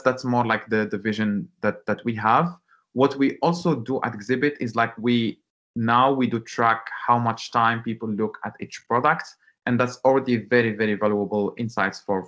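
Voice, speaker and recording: Muffled online call audio, man, mid-range pitch, halting delivery, repeated words, informal explanatory interview answers. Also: a bit bright, no room sound. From Morocco. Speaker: startup founder, webinar guest.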